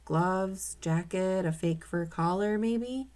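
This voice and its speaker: A calm, knowledgeable man in a children's storybook, read by a woman. He explains in a matter-of-fact, instructive way and takes his time. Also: fairly low pitch, takes it slowly. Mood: explaining.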